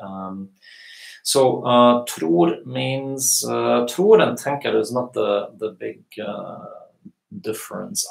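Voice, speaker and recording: Gravelly-voiced man teaching from home. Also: lowish voice. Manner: relaxed, thinking aloud with many fillers. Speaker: language teacher. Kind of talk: live Q&A lesson. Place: small room indoors. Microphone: webcam. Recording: echoey room.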